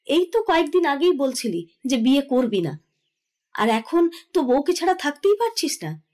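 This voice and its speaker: A middle-aged mother played by a woman in an audio romance drama. Her voice sits a little high as she chides firmly and teases. Underneath the scolding she is indulgent. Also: very animated, moderate pace, darkish tone. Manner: chiding, teasing, firm. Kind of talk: Bengali audio drama acting.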